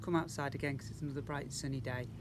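A man, reading a children's storybook aloud outdoors in an expressive, deliberate way with drawn-out words. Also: medium pitch. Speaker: school teacher reading to young children. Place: outdoors in a school garden. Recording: outdoor recording with machinery noise.

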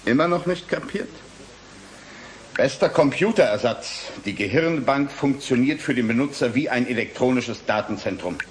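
A man's voice: mid-range voice, expressive, lightly acted. Man playing a swooping, cynical, glib scientist. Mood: smug, condescending. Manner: brisk, dismissive, rhetorical questions. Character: glib, cynical male brain-bank scientist, condescending. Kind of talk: German radio drama acting.

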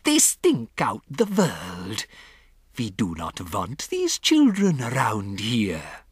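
A storybook narrator voicing a furious, imperious female witch leader, shrieking with drawn-out, emphatic words. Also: mid-range voice, huge pitch swings, husky, no room sound, clean studio sound, heavily acted. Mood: shrieking rage. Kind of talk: theatrical storybook character voice.

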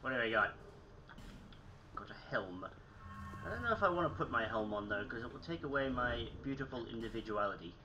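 Young man, phlegmy, self-mocking game chatter, steady hiss behind him. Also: mid-range voice, a little fast, long pauses, highs cut off. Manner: chatty, self-mocking, frequent throat clearing. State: a bit sick and phlegmy. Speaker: gaming channel host. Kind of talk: casual gameplay commentary. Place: small room at home.

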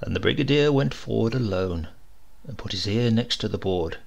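A man reading a comic short story aloud as an expressive audiobook narrator. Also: on the low side, moderate pace, gravelly.

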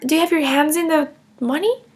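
A woman's voice: sits high, a little fast, lightly acted. A woman playing a kindergarten teacher in a comedy skit, scolding firmly. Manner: scolding, firm. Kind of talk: comedy skit role-play.

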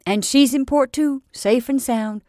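A woman voicing an earnest old male sea captain who talks in loud, salty seafaring phrases. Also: high-pitched, unhurried, no room sound, studio quality, pitched above the performer's own voice. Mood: jubilant.

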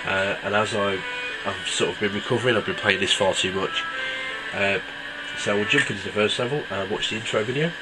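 A man's voice: fairly low pitch, a little fast, rough recording. A man with a stuffy cold, casually reviewing a mobile game with lots of ums. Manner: relaxed, rambling with many ums. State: congested from a cold. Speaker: app reviewer. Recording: loud game music behind.

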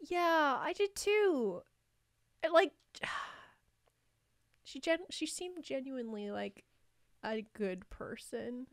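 A woman's voice: high voice, takes it slowly, pauses often, no room sound, clean studio sound. A woman co-hosting a gaming channel, reacting casually and expressively, with free swearing. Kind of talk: casual reactive let's-play chat. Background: game music.

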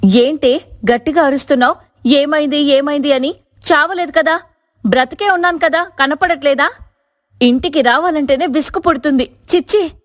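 A woman acts out a young vegetable seller from a moral tale, high in pitch and closely, dryly recorded. She is devout and hardworking, speaks with emphatic feeling and has a quick temper. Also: little pitch movement, darkish tone, dry recording, studio quality, close to an everyday voice. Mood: irritated, shouting. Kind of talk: dramatised moral story dialogue.

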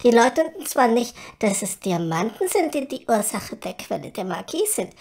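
A woman's voice: fairly high pitch, very animated, normal speed, vocal fry, darkish tone, full character voice. A woman playing a vain, flighty, chatty fairy in a comedy audio drama, with theatrical, airy delivery. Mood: chatty explaining. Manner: theatrical, exclaiming, airy, shifting to chatty explaining.

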